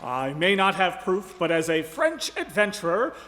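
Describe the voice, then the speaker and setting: An earnest young adventurer played by a man in a live radio melodrama, dramatic and suspicious. The voice is high and very lively in pitch, ringing in a reverberant hall. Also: moderate pace, heavily acted. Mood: accusing. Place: live stage in a ballroom.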